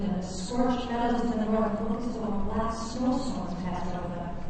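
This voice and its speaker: Actress as a lone survivor, heavily echoing. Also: female voice, mid-range voice, natural voice. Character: lone woman survivor narrating an alien-ravaged landscape. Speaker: radio play actor. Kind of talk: dramatic radio play narration. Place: performing arts center stage, live broadcast. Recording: very echoey distant hall, noisy.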